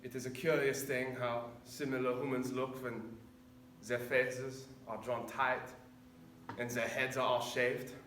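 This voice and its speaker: A man performing a dramatic monologue as a haunted, repentant older former camp officer, speaking solemnly and deliberately with weighty pauses. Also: mid-range voice, little pitch movement, a bit bright, natural voice. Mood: grave, reflective. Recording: echoey room, distant mic, faint noise.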